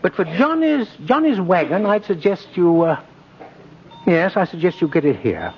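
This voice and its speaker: Casting: kindly elderly Santa Claus, highly expressive, principled and old-fashioned; vintage radio sound with clear hiss. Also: male voice, moderate pace. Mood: kindly, assured. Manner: chuckling, gently insistent, old-fashioned. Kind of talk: 1950s radio drama acting. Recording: dull, noisy old radio recording.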